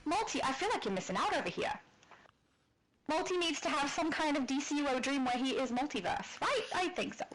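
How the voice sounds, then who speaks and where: A woman co-hosting a casual livestream and reading chat comments aloud, chatty with frequent giggles and a high soprano voice. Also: big pitch swings, fairly quick, a bit bright, slight background noise, poor audio. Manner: giggly, chatty, laughs often. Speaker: stream co-host.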